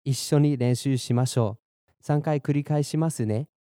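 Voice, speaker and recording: A man's voice: medium pitch, warm tone, no echo, studio quality. A young adult man, born in Germany and raised in Boston, explaining phrases in Japanese and English as a podcast language teacher. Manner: clear, measured explanations, slows down for repeat drills. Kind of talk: bilingual lesson narration, Japanese and English.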